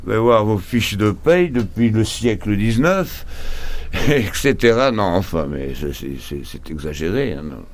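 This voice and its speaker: Laundromat owner, male, very low voice, loose chatty talker who restarts and repeats himself. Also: animated, a little slow. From Portugal. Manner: chatty, stumbling with many repeated words. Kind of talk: casual interview chat.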